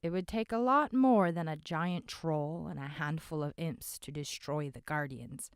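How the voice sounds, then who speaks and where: A woman voicing a calm man who speaks steadily to the others. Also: sits low, clear, resonant tone, no room sound, studio quality. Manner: calm, measured.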